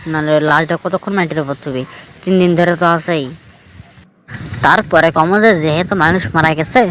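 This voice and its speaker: A man's voice: on the high side, normal speed, a bit bright, a little hiss or hum, natural voice. A man with a northern Bangladeshi accent playing an exasperated, scolding village friend in a cartoon skit. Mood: resigned, reasoning. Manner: scolding, lecturing. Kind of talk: colloquial dialogue in a cartoon skit.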